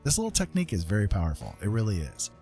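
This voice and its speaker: A man's voice: fairly low pitch, huge pitch swings, rapid-fire, mellow, soft background music. A man casually streaming a digital painting tutorial, rambling and thinking aloud as he works.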